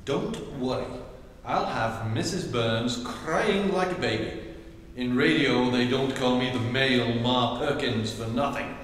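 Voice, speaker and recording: An actor playing a formal, pompous butler in a staged 1940s radio comedy. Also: male voice, mid-range voice, a little slow, fairly bright tone, natural voice. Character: pompous English butler, wordy. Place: theatre stage. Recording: echoey theatre, far from mic, faint hiss.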